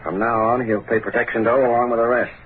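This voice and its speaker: A man's voice: mid-range voice, fairly even intonation, fast, lightly acted. A man acting a smug racketeer boss with slangy tough talk in an old radio crime drama. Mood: smug, menacing. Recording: tinny, noisy old radio recording.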